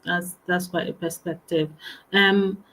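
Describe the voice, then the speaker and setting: A woman hosting, soft-spoken and agreeable, reading out audience questions in a casual chat. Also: fairly low pitch, steady tone, slow pace, low-quality recording. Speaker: interview host.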